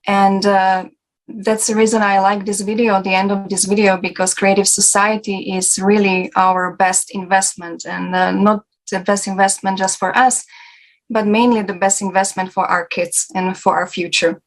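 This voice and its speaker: Woman moderating, flat halting delivery. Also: medium pitch, poor audio. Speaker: discussion host. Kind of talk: unscripted panel moderating.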